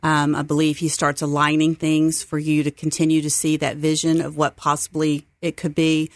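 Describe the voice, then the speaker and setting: A woman's voice: low voice, monotone, normal speed, no room sound. A middle-aged woman from Texas, speaking as an upbeat, earnest guest in a conversational radio interview. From Texas, United States. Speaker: interview guest, seminary site coordinator.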